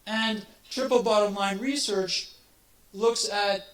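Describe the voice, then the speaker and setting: A man's voice: high-pitched, steady tone, takes it slowly, a bit bright. A man with a big voice giving an academic presentation as a guest lecturer. He explains in a loose way and often restarts his phrases, speaking into a handheld microphone in a lecture hall. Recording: echoey hall, distant mic, faint noise.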